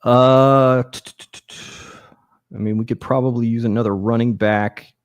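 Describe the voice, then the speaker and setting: A man's voice: mid-range voice, fairly even intonation, normal speed, no echo. A man joining a fantasy football draft as a guest, speaking casually in a hesitant, stammering but easygoing way. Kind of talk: casual chat.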